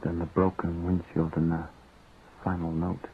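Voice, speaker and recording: Brooding Canadian cabbie, low-pitched and controlled, radio drama on a narrow, tinny recording. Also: male voice, monotone, moderate pace. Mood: subdued. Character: brooding cab driver, protective, angry husband. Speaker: lead actor and narrator. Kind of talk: naturalistic radio drama with first-person narration. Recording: tinny, band-limited old radio recording, faint noise.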